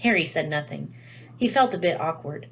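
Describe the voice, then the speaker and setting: A woman's voice: deep voice, lively intonation, normal speed, vocal fry. A woman narrating a children's novel aloud as a read-aloud storyteller.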